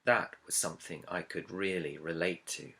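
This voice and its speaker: Low, bright-voiced man reading aloud. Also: steady tone, moderate pace. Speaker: author reading own book introduction. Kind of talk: scripted audiobook-style narration.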